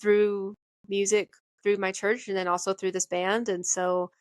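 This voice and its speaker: A woman in a band, answering an interview question about her faith in an earnest, hesitant way with many pauses and fillers. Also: mid-range voice, level tone, no room sound. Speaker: band member and church worship team singer.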